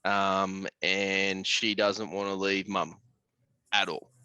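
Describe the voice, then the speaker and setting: A man's voice: low-pitched, little pitch movement, slow, bright, forceful tone, smooth, no echo, muffled recording. An Australian man chatting casually as a podcast host, blokey and sweary, full of slang.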